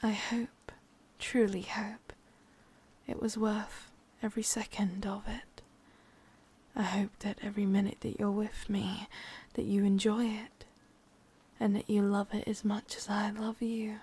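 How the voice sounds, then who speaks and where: A woman voicing a sadistic, possessive witch lover in a breathy, husky whisper with an English accent, intimate and teasing, for an ASMR roleplay. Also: medium pitch, level tone, measured pace, darkish tone. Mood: adoring, menacing.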